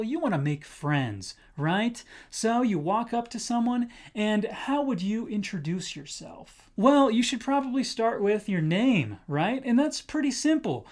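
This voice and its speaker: An American man in his twenties giving an upbeat, informal English lesson, enthusiastic and encouraging, slowing down to enunciate example phrases carefully. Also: high voice, a little mellow. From United States. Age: 20s. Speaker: English teacher presenting a lesson. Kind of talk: upbeat scripted language lesson to camera.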